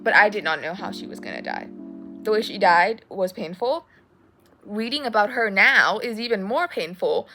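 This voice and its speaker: A woman with a light Thai accent chatting excitably and dramatically as she reacts to a book she is reading, full of restarts. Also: medium pitch, moderate pace. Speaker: book vlogger. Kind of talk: casual reading reaction vlog. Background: faint background music.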